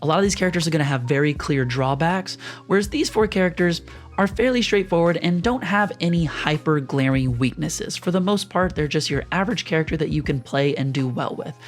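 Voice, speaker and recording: A young man with a slightly hoarse, rough voice from a sore throat, casually walking viewers through board game choices in a chatty, explanatory way. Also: a little high, lively intonation, brisk, soft background music. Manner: chatty, explanatory, uses lots of fillers. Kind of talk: casual screen-share walkthrough.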